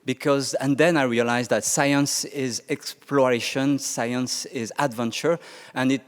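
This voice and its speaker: A man's voice: medium pitch, steady tone, a little slow. French-accented man in a reverberant hall. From France. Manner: hesitant, many restarts and fillers. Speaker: panel speaker, Mars climate scientist. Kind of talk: conference panel talk. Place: conference hall.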